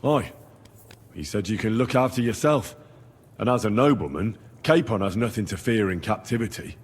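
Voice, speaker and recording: Young medieval squire for a game drama: earnest, plain-spoken, slightly low and rough-edged, in a reverberant space. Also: male voice, lively intonation, normal speed. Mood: frank. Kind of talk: dramatic video game dialogue.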